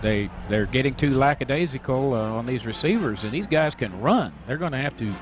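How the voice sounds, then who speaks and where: A man's voice: mid-range voice, animated, brisk, faint music behind. American football color commentator, slightly muffled man. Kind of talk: conversational football analysis. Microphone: broadcast booth microphone. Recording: slightly dull radio broadcast sound.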